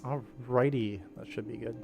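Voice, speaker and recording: A man with a mid-pitched voice hosts a livestream as an animator. He talks casually while he works, with faint music playing behind him. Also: fairly even intonation, moderate pace, creaky, warm tone. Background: faint background music.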